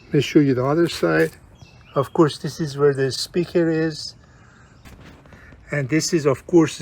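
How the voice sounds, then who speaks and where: A man's voice: fairly high pitch, a little hiss or hum. Chatty man thinking aloud through a tutorial. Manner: chatty, thinking aloud, often addressing the viewer. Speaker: host of a radio repair tutorial channel. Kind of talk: casual hands-on tutorial narration.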